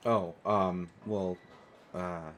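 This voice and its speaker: A man's voice: deep voice, fairly even intonation, extremely slow, fairly bright tone, a little hiss or hum, full character voice. A man playing a shy, awkward, kind stranded traveler in an audio roleplay, hesitant, stammering and apologetic. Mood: awkward, earnest.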